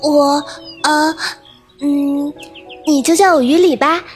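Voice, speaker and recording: A woman's voice: sits high, fairly even intonation, slow pace, faint music behind. A woman playing an innocent, playful young carp-spirit maiden in an audio drama, with an inquisitive manner. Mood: hesitant. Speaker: voice actress.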